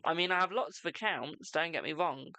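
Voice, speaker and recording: A British tarot reader hosting a live stream, chatting casually and answering viewers' questions with a brisk "my darling". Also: mid-range voice, pushed and bright, no room sound. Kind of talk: casual live-stream chat and card readings.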